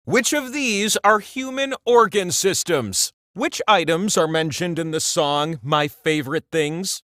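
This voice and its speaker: Pompous, swooping game-show wheel. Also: male voice, sits high, a bit bright, no echo, studio quality. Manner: pompous, playful, grandiose delivery. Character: sentient giant game-show wheel, cocky, ancient male quizmaster. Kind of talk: theatrical scripted quiz show hosting.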